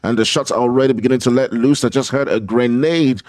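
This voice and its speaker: A man's voice: mid-range voice, a little mellow, no room sound, studio quality. A man with a Nigerian accent doing live esports commentary, laid-back and joking. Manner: laid-back, joking, fills pauses with hums. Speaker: esports caster.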